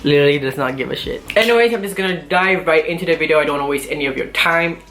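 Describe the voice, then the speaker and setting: A man's voice: on the high side, very fast, bright, forceful tone, a little hiss or hum. Chatty twenty-year-old man, Jakarta accent. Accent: Jakarta Indonesian. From Malaysia and Jakarta, Indonesia. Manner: chatty, laughs between sentences. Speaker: YouTuber. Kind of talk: casual talk to camera. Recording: echoey room.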